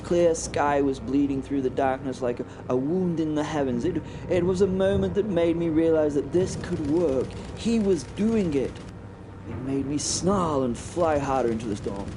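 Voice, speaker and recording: A man's voice: a little high, normal speed, background noise, close to an everyday voice. A man voicing a brash, cocky WW1 pilot, telling his tale wryly and breathlessly, with stammered restarts. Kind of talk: audio drama first-person narration.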